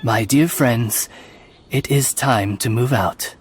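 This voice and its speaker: A man's voice: medium pitch, takes it slowly, soft background music, lightly acted. A man voicing a loyal raven familiar in a video game, speaking as a dignified, deferential servant with elaborate formality. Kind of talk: video game character acting.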